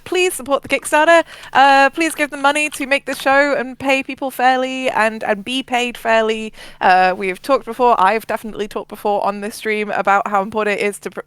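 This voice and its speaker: A woman with a smooth, clear voice of average pitch speaks casually as a co-host. She is earnest and enthusiastic, and the sound is dry with no room echo. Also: fairly bright tone. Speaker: co-host of an audio drama stream. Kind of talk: casual chat.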